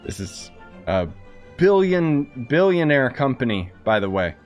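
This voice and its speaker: A man's voice: medium pitch, sing-song, a little slow. A man giving casual live commentary on a video game, offhand, slangy and wry. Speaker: streamer. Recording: streamer's mic with game music behind.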